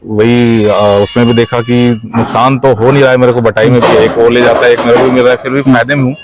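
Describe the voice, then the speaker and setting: A man's voice: mid-range voice, little pitch movement, a little fast. A man from Chhattisgarh, a goat farmer answering interview questions informally, hesitant and deferential, repeating his words. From Chhattisgarh, India. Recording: faint background noise on location.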